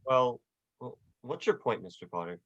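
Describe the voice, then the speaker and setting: A man's voice: medium pitch, expressive, moderate pace, fairly bright tone, highs cut off. A young man playing an earnest, stammering, hot-headed small-town husband in a folksy 1940s radio drama style. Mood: flustered, incredulous. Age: young adult.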